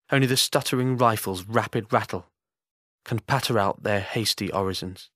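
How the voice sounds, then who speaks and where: A male voice actor reading poetry in a solemn, measured and grave manner. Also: on the low side, fairly bright tone, no echo, studio quality.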